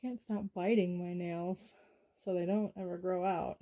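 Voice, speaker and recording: Woman, soft-spoken ASMR, dark mellow tone, breathy husky texture, gentle soothing guidance. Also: on the low side, steady tone, normal speed. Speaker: ASMR creator, host. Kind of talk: soft-spoken ASMR self-care roleplay.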